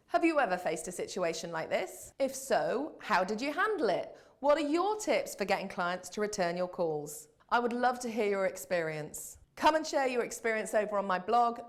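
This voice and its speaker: Woman doing a scripted coaching video, voice goes up and down a lot, polished n emphatic. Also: mid-range voice. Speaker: host of a business coaching web show. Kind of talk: scripted advice to camera.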